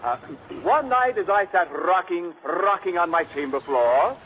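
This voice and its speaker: A man's voice: high voice, animated, a little slow, a bit bright, rough recording. A man playing an eager, pompous, self-pleased announcer in 1940s radio comedy, polished and theatrical. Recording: old radio recording, faint rain noise.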